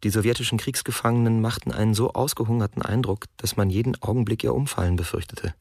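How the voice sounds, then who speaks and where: A man's voice: lowish voice, darkish tone, no room sound, clean studio sound. An actor playing a troubled German army officer in a radio drama, narrating his testimony soberly.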